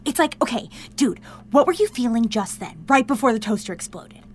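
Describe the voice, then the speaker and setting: Actress as an excitable high-voiced young confidante. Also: female voice, fairly quick, a little hiss or hum, natural voice. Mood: gentle, coaxing. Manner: informal, earnest, coaxing. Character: excitable, caring, pushy young woman, loyal confidante. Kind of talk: modern audio drama acting.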